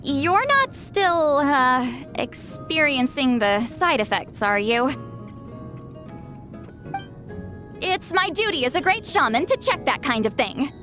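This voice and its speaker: A woman's voice: high voice, very animated, a little slow, hardly any pauses, bright, forceful tone, heavily acted. A woman voicing a proud, easily flustered young-sounding shaman in an anime game, with a haughty manner. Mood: nervous. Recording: music under the voice. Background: game music.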